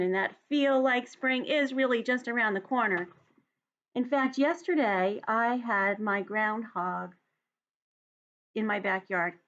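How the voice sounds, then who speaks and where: A woman speaking as a teacher at storytime, addressing young children directly with expressive, careful delivery. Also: fairly high pitch. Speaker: teacher reading a story to students. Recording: dull, lo-fi home recording.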